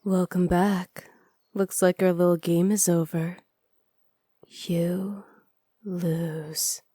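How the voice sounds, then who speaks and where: A woman playing a flirty, cruel evil witch in a soft ASMR roleplay, teasing and smug, close to the mic. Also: lowish voice, flat delivery, husky, no room sound, heavily acted. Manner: teasing, smug, unhurried, often dropping to near-whispers. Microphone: close microphone, dry.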